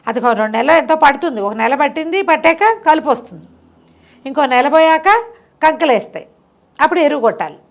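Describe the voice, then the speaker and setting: A woman storyteller with a fairly high voice and a noticeable creak. She tells bedtime folk tales in a chatty, conversational way, spelling out details as she goes. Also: expressive, fairly quick. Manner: chatty, explanatory, listing details with repetition.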